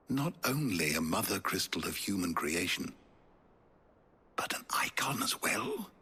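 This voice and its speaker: An actor playing an awed, learned scholar in a video game, very raspy, with an erudite and reflective manner. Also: male voice, deep voice, big pitch swings, takes it slowly, long pauses, pushed and bright, full character voice. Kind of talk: video game cutscene acting.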